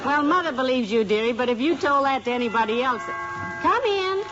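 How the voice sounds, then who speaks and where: A patient teasing wife. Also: female voice, high voice, lively intonation, fairly quick, highs cut off, a loud crowd. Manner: gently teasing, calls him dearie. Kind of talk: 1950s radio comedy acting. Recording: old radio transcription with audience laughter and hiss.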